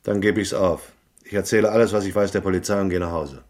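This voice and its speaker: A man acts a hardboiled private eye from a crime radio drama. His voice is low, and he talks in terse, dry, unimpressed lines, as narrator of his own case and as tough questioner. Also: fairly even intonation, fast, darkish tone, lightly acted. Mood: tough, probing. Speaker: actor narrating and playing the lead.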